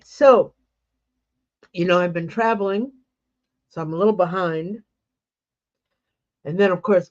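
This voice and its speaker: Woman host, stuffy with a cold, unhurried friendly chatter, slightly dull lo-fi sound. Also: medium pitch, a little mellow. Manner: chatty, greets viewers by name. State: congested with a cold. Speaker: embroidery livestream host. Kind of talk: casual livestream chat.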